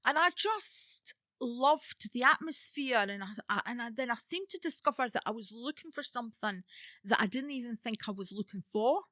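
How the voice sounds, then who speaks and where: A woman in her fifties tells her story as a podcast guest, relaxed and unhurried, often letting her sentences trail off softly. Her voice is bright and clear. The mic is close and dry, with no room sound. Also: on the high side, no room sound. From Scotland. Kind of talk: casual interview chat.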